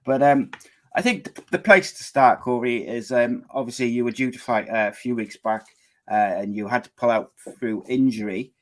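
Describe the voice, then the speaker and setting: A man's voice: medium pitch, moderate pace. A man hosting a live podcast show, chatty and loose, with frequent fillers and restarts as he introduces guests and runs the show. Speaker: podcast host.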